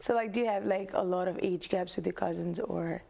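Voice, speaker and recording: A woman's voice: on the low side, steady tone, fairly quick, gravelly, warm tone. A woman from Nepal, hosting a radio interview in a chatty way, with fillers and laughs. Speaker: radio host. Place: radio studio.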